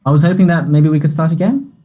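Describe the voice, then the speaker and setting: An earnest, unsure young man, acted by a man in a play reading. His voice is soft-edged, mellow and slightly high, with some huskiness. He speaks haltingly and apologetically, as if feeling for each phrase. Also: breathy edge. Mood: hopeful, shy. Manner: hesitant, halting. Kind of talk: play table read.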